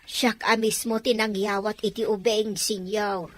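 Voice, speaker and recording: A woman's voice: medium pitch, flat delivery, measured pace, natural voice. A woman acting a deferential but chatty household maid in an audio drama. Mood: nervous.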